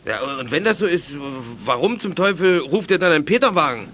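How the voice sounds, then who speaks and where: A man playing a gruff, impatient policeman, brusque and official, in a youth radio drama. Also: high-pitched, lively intonation, brisk, fairly bright tone, slight background noise. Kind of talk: 1980s German youth radio drama acting.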